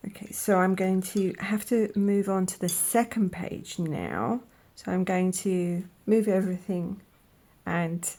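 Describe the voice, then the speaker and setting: A woman with a croaky voice from a cold, slowly musing aloud in a soft-spoken way as she tries out paints, sometimes dropping to a whisper. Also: lowish voice. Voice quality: croaky, gravelly. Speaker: art vlogger. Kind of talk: casual voice-over while swatching paints.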